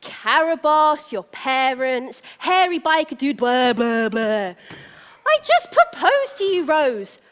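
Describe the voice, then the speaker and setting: A woman's voice: sits high, very animated, slow pace, fairly bright tone, full character voice. A woman playing a vain, self-absorbed young prince in a live stage pantomime, theatrical and pleased with himself. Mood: wooing, impatient. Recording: echoey theatre hall, long reverb.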